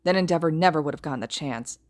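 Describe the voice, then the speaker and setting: A woman voicing a brooding, scarred young man who mutters low and quiet in a fan audiobook. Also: quick pace, close to an everyday voice. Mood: bitter.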